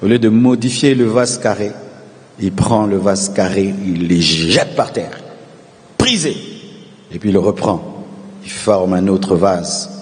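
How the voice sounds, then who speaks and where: A male pastor preaching a sermon, calling on the congregation to repeat after him and swelling into shouted refrains. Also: deep voice, in a large hall, slight background noise. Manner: preaching with call-and-response, rising to shouted repetitions.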